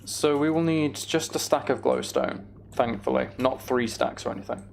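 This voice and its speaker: Gravelly man chatting over a game. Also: mid-range voice, moderate pace, slight background noise. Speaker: game streamer hosting a live chat stream. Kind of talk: casual gaming commentary and chat.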